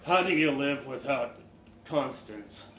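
A man's voice: medium pitch, animated, fairly bright tone. A man voicing an injured older father, delirious on painkillers, weary and pleading, pushing his words out with effort. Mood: desperate, pleading. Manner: halting, laboured, pushing words out. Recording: roomy live venue with faint noise.

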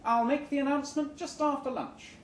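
Man playing brisk female HR boss, echoey room. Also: very high voice, steady tone, voice pushed up. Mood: bright, businesslike. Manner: sighing, smoothly corporate. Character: brisk, falsely cheerful female human resources head. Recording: roomy, noisy live venue recording.

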